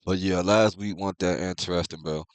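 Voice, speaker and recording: A young man hosting a manga review in casual chat, congested and clearing his throat, with laid-back slangy talk. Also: deep voice, steady tone, normal speed, no room sound. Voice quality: congested, thick-sounding.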